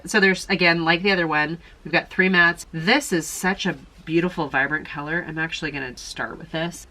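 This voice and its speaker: A woman with a low voice and a bright, forward tone talks through her makeup as she works. She sounds slightly nasal and thinks aloud in a chatty way, adding quick asides. A faint hiss of background noise sits under her voice. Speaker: beauty vlogger. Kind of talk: casual makeup tutorial chat.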